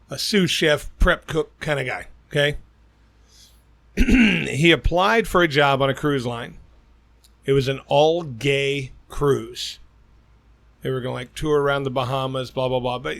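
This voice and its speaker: A man co-hosting a crude comedy podcast, telling stories loudly and bluntly with a Cincinnati, Midwest accent. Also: mid-range voice, vocal fry. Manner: loud, storytelling, blunt opinions. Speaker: podcast co-host. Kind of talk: casual crude podcast banter.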